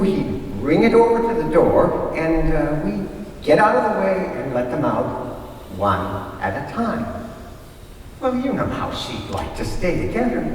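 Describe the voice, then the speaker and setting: A man telling folksy stories in a conversational, rambling way, pausing as he goes, in a staged reading. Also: high-pitched. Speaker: readers theater performer. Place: large hall, live stage reading. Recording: echoey hall from far off, faint noise.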